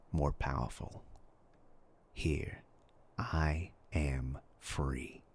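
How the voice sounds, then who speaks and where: A predatory demon speaks in a dark roleplay monologue. The voice is extremely low and gravelly, with a husky, breathy edge. He takes his time, savouring each taunt with arrogant, seductive menace. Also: heavily acted. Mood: gloating contempt. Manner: slow, taunting, theatrical.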